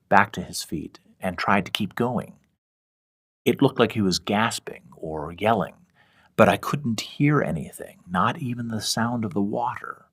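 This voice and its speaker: A man narrates a scripted horror story in the first person. His voice sits very low. He reads as the author of a fiction podcast. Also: fairly bright tone.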